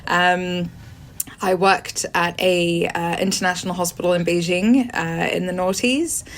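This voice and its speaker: A woman in her forties from Sweden with a British accent, a podcast guest who answers at length with many pauses, ums and restarts. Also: lowish voice, fairly even intonation. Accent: British English. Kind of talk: conversational interview answers.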